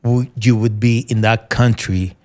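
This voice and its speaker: Middle-aged veteran, New York accent, earnest, halting delivery with fillers. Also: male voice, mid-range voice, fairly even intonation, a little mellow. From United States. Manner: earnest, searching for words with fillers and restarts. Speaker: military veteran guest. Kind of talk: conversational interview.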